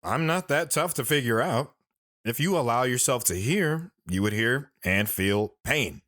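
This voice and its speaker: A man playing a sorrowful, philosophical lone wanderer in an audio drama, speaking earnestly and deliberately. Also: mid-range voice, animated, fairly bright tone, dry recording, clean studio sound. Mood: brooding.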